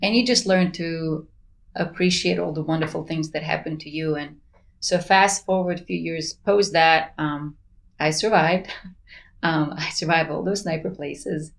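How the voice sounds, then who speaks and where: A woman's voice: low voice, steady tone, measured pace, creaky. A woman in her forties from Bosnia, speaking English with a Bosnian accent as a researcher guest in a casual interview, earnest and enthusiastic, laughing between thoughts. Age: 40s. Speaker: plant pathology researcher, podcast guest.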